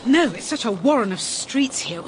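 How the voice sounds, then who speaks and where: An actress playing a blunt, dry-witted private detective in a radio drama, with an English accent and a brisk, wry way of talking. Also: female voice, fairly high pitch, expressive, noisy background, close to an everyday voice. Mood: puzzled. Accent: British, English.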